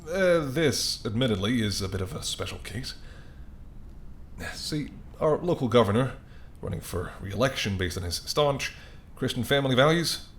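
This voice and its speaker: Wry, courteous mob boss, acted by a man, over rumbling noise. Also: expressive, moderate pace, natural voice. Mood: wry, indignant. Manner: conversational, sardonic, exasperated, softening into polite charm. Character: apologetic, wry, courteous male mafia boss. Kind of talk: audio roleplay spoken to the listener.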